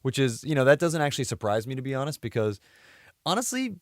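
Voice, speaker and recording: A man's voice: medium pitch, very animated, fast talker, no echo, studio quality. A man co-hosting a podcast, chatting casually and conversationally about films. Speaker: podcast co-host. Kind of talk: casual chat about video game films.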